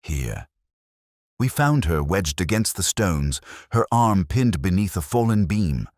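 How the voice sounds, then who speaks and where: Very deep male mystery narrator. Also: very animated, a little slow, dry recording. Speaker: audiobook narrator. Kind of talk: scripted dramatic mystery narration.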